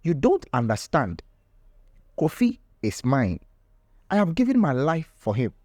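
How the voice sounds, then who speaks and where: A man's voice: on the high side, wildly swooping pitch, a little slow, a little mellow, no echo, clean studio sound, full character voice. A man voicing a possessive, desperate village grandmother in a folktale, insistent and defensive as she speaks. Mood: defiant.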